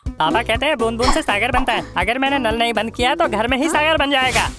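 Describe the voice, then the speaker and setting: A woman's voice: a little high, quick pace, natural voice. A woman voicing a stubborn, sleepy little cartoon boy who whines and exclaims defiantly in a comic dub. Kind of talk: comic cartoon dubbing in Hindi. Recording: music bed under the voice.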